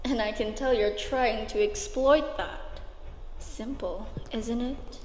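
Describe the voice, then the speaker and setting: A woman playing a guarded, defiant crime syndicate member with a deliberate, taunting delivery in an audio drama. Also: on the high side, fairly even intonation. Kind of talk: audio roleplay drama acting. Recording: echoey hall reverb, faint noise.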